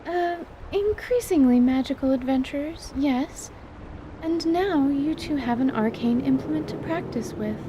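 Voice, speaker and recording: A woman playing a composed, scholarly magic teacher, patient and reserved, with formal, measured wording in a fantasy audio drama. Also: high-pitched, lively intonation, background noise, pitched above the performer's own voice. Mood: composed, gentle.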